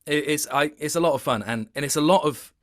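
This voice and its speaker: A man's voice: fairly high pitch, fast. A man speaking casually as an interviewed voice actor, thoughtful and hesitant, often circling back to rephrase what he is saying.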